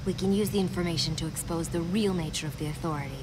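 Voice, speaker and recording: A serious, resolute rebel woman from a video game, played by an actress, with a fairly low voice. She explains earnestly over loud background noise. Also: fairly even intonation, fast, lightly acted. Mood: serious, concerned. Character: serious, resolute female resistance member. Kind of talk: video game cutscene acting.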